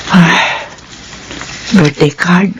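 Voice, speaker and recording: An actress in a Filipino radio drama playing a kindly but sorrowful employer who speaks gently. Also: female voice, fairly low pitch, steady tone, drawn-out words, a little hiss or hum. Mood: gentle, touched. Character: kindly, sorrowful female employer with a guilty past.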